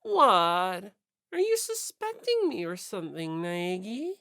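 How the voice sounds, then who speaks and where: A woman's voice: mid-range voice, sing-song, slow pace, creaky, fairly bright tone, no echo, studio quality, exaggerated voice. A woman voicing a vain, brash teenage fashion-model schoolgirl who teases and scoffs in a cutesy, scornful way. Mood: suspicious. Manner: teasing, cutesy then scornful.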